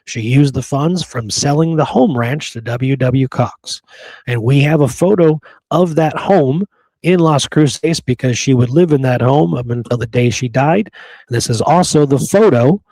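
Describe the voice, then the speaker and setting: A man talks through history as a podcast host in a loose, colloquial style. His pitch sits in the middle range for a man and the sound is dry. He presses his points and tags them with quick questions to his listener. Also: darkish tone, no room sound, low-quality recording. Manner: colloquial, emphatic, punctuates points with check-in questions. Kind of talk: casual podcast talk recounting history.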